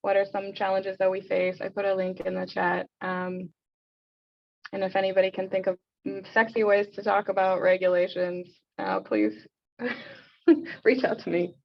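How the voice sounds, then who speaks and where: A woman casually moderating an online discussion, informal, with frequent hesitant pauses, on a lo-fi call. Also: medium pitch, vocal fry. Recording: dull, lo-fi online call audio.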